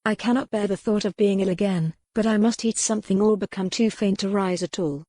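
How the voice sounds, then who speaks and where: A woman voicing a very young, frail noble widow, speaking formally with quiet wryness. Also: medium pitch, fairly even intonation, brisk, no room sound, clean studio sound, lightly acted.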